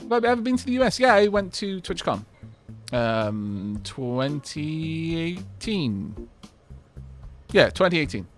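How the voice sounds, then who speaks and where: A man streaming a game, bantering with chat in an animated, rambling, self-deprecating way, with faint music behind. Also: on the high side, slow pace. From Wales.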